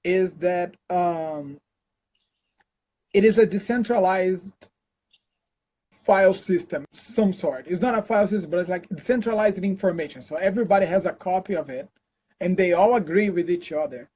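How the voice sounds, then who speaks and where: A Brazilian man with a Brazilian accent, answering interview questions in a relaxed, chatty way full of fillers and restarts, over a video call. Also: high-pitched, moderate pace, slightly rough. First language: Portuguese. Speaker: interview guest, scientist. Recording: dull lo-fi call audio.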